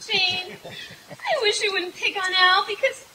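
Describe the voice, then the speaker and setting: A woman's voice: very high voice, a little slow, sharp tone, heavily acted. An actress playing a sweet, naive, dim-witted young woman in an old-time radio sitcom. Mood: wistful. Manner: naive, scatterbrained. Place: outdoor stage in the woods. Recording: noisy live outdoor stage recording.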